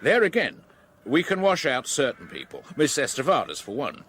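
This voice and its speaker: An actor with an English accent playing a plain-spoken, methodical police superintendent in a radio drama. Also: male voice, medium pitch, wildly swooping pitch, moderate pace, fairly bright tone, a little hiss or hum. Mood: musing, reasoning. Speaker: actor in a detective radio play. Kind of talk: British radio drama acting.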